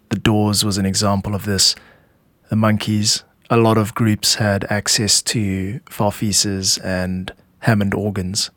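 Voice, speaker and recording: A man with a fairly low voice presents a music podcast as its host and composer. He explains in measured, instructive phrases with deliberate pauses. The sound is close and dry, as if in a quiet studio. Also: no room sound.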